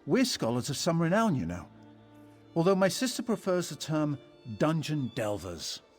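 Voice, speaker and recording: A man's voice: on the high side, huge pitch swings, normal speed, faint music behind. A man playing a haughty, aggrieved scholar and artificer in a video game, wordy and indignant. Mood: aggrieved pleading. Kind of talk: video game character dialogue.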